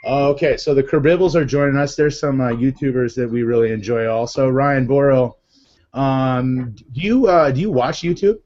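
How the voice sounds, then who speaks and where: A man with a Massachusetts accent hosts a live video chat in an upbeat, chatty way, full of ums and quick asides. His voice sits at an ordinary pitch for a man. The audio is dull and lo-fi, like a video call. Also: a little mellow. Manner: chatty, upbeat, many fillers. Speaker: live show host.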